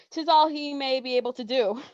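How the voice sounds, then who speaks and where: Dull lo-fi sound, high voice, playful teenage girl acted by a woman, Shakespearean stage banter, carefree. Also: normal speed, a little mellow, lightly acted. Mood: teasing. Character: teasing, carefree teenage daughter, mischievous friend.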